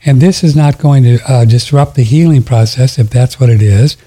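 Husky male radio host, low dark voice, easygoing call-in chat. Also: moderate pace. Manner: relaxed, friendly, gives home remedies.

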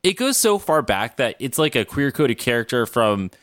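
A man with a bright, clear voice on a close, dry mic co-hosts a casual fan podcast. He is opinionated and informal and swears freely. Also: mid-range voice, big pitch swings, moderate pace, dry recording. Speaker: podcast co-host.

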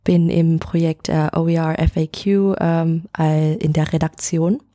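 A woman's voice: deep voice, level tone, a little slow, mellow, no room sound, studio quality. A woman in her twenties from Vietnam, speaking German, introducing herself hesitantly with many fillers. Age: 20s. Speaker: guest, editor.

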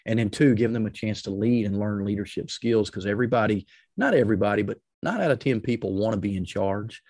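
Energetic man with a deep, loud voice. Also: a little fast, no room sound, clean studio sound. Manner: energetic, emphatic, repeats phrases for stress. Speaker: podcast guest, leadership coach. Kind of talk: explanatory interview talk with advice and personal stories.

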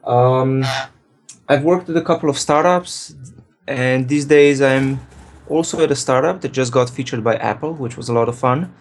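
A man from Slovenia, a programmer, answering interview questions in a relaxed way, thinking aloud and correcting himself. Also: mid-range voice, normal speed. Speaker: programmer and guest.

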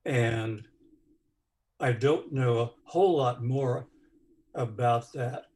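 A man with a bass voice sharing personal news in a group, hesitant with many pauses and fillers. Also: darkish tone.

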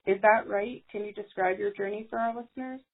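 Breathy woman host over a phone line. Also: mid-range voice, fairly even intonation, brisk. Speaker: podcast host. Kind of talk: podcast hosting and sponsor read.

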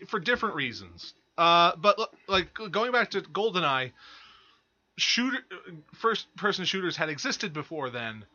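A man with a slightly high, very bright voice talks casually as a podcast co-host. He starts and restarts haltingly, and the audio is a little dull and lo-fi. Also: sing-song. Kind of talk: casual chat about games and films.

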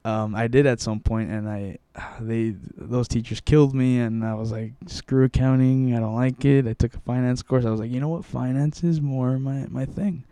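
Young man, from Mexico, casual interviewee, dark smooth tone, close and dry, halting delivery. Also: medium pitch, steady tone, dry recording. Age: young adult. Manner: rambling, many restarts and fillers.

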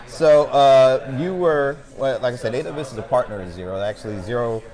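A man's voice: medium pitch, brisk, darkish tone, rough recording. A man interviewing a guest at a conference, friendly and a bit hesitant. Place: busy conference floor. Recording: crowd noise behind. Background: crowd chatter.

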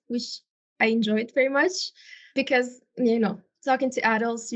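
A woman from Morocco, a non-native English speaker, answering conversationally and articulately as an interview guest. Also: on the high side. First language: not English. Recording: dull, lo-fi audio.

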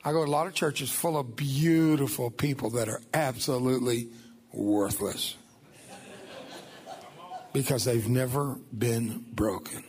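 A man's voice: medium pitch, measured pace, pauses often, a little mellow. An older man whose first language was Spanish, giving a folksy, emphatic church testimony as a guest speaker.

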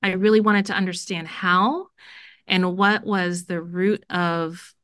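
A woman's voice: on the low side, steady tone, slow pace, fairly bright tone, clear, resonant tone, no room sound, clean studio sound. A middle-aged woman from Jamaica, a school dean, speaking earnestly in a podcast interview with many fillers.

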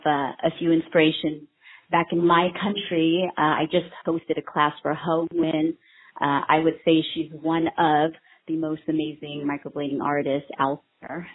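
A woman in her thirties from Vietnam answers interview questions, earnest and hesitant, with many ums. Her voice sits low and a little husky. The sound is thin and tinny, like a phone line. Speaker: microblading artist and trainer.

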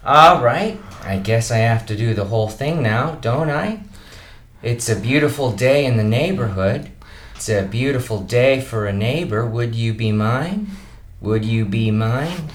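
An actor playing a burnt-out elderly male children's TV host in a comedy radio play, speaking softly and slowly. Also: medium pitch, expressive, echoey room, close to an everyday voice. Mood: weary. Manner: soft, slow and gentle, turning bitter.

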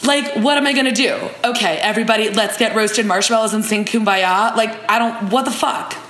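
A woman's voice: a little high, brisk, fairly bright tone, echoey room, close to an everyday voice. A woman playing an exasperated male reality-show contestant in a comic dramatic reading, sarcastic and threatening.

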